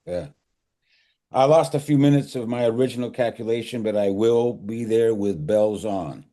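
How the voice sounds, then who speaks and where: A man's voice: mid-range voice, moderate pace, mellow, natural voice. A man playing an aging, devoted father who grieves but reassures, in a live screenplay table read. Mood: warm, reassuring. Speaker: actor reading a script.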